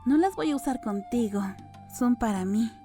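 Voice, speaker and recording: A woman's voice: a little high, animated, normal speed, warm tone. A woman voicing a serious, matter-of-fact young apothecary woman in an audiobook, earnest, blunt and deferential. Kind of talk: audiobook character dialogue. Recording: music under the voice.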